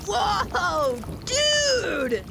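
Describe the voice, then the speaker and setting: A woman playing an excitable, cheeky young kid in an audio drama, cheering in exuberant slang. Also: very high-pitched, very slow, creaky, very noisy, full character voice. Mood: excited, cheering. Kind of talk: animated audio drama acting.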